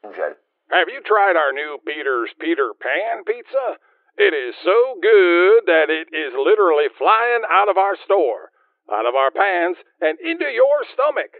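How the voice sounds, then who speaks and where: An actor playing an over-eager, hyped pitchman in a parody radio commercial, heard through a radio. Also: big pitch swings, measured pace, dry recording, full character voice. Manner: hyped, salesy. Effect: radio filter. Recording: tinny band-limited radio sound, very dry.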